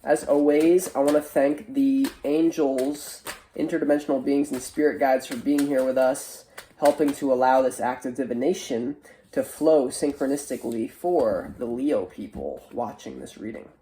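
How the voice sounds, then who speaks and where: A tarot host with a soft, dark-edged, mellow tone and a mid-range pitch. The nose sounds blocked, giving a nasal, stuffy color. The delivery is informal and chatty, feeling its way through a spiritual card reading. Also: moderate pace, a little hiss or hum, poor audio. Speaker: tarot reader, online show host.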